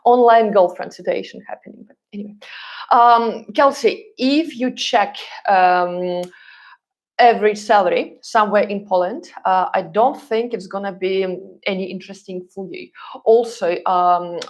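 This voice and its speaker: A woman's voice: medium pitch, moderate pace. A woman whose first language is Russian, hosting a live stream and answering viewers' questions in a chatty way full of fillers and restarts. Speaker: relocation consultant and host.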